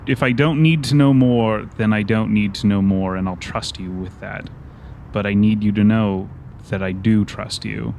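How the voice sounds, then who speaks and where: A man plays an analytical, socially clumsy man in a tabletop game. His voice is lowish, his phrasing stiff and deadpan, with faint noise underneath. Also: normal speed, creaky. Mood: dry, sincere. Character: awkward, analytical, formally spoken man who probes people.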